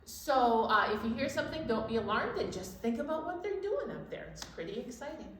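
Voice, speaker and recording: A woman's voice: high voice, very animated, brisk. An American woman chatting casually to young children as a storytime co-host. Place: indoors in a library. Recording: very echoey room with faint background noise.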